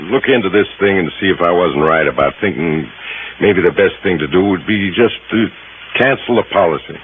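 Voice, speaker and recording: Man acting an uneasy, lower-voiced insurance man. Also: brisk, close to an everyday voice. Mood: evasive, flustered. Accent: American. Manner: hesitant, roundabout. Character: uneasy, evasive male insurance company man. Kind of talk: 1960s American radio acting. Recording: hissy, tinny old radio.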